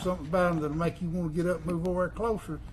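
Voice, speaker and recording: A man's voice: fairly high pitch, flat delivery, very fast, a little hiss or hum. An older man with a rural Tennessee Southern accent, a guest outdoorsman telling hunting advice and stories in a plain-spoken, folksy way. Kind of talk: casual storytelling chat.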